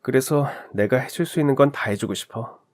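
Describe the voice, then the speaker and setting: A man's voice: medium pitch, a little fast, natural voice. A man voicing a stingy young male army lieutenant who answers meekly and apologetically. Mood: earnest.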